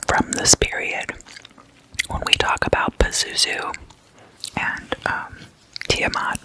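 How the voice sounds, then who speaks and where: An ASMR host speaks in a breathy, unvoiced whisper with a crisp, bright edge. The delivery is very slow and hushed, yet informal and playfully chatty. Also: poor audio. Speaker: ASMR host explaining demon folklore.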